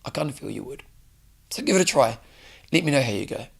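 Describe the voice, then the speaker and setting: A man giving an earnest solo coaching talk, hoarse from losing his voice. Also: mid-range voice, sing-song, quick pace. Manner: earnest, coaching, direct questions to listener. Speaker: fitness show host. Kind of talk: solo motivational talk to camera.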